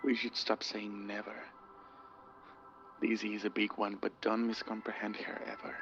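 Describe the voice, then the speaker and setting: A man's voice: on the low side, monotone, normal speed, fairly bright tone. A man reading spoken-word poetry as a recited piece. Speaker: poetry reader. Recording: dull sound under prominent music. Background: music.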